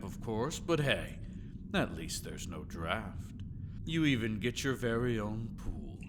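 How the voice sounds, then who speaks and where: A man's voice: medium pitch, noisy background. A man playing a sadistic, mocking jailer in an audio roleplay, drawling with sarcastic politeness and menace. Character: sadistic, mocking male jailer of a captive vampire. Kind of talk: scripted ASMR audio drama monologue.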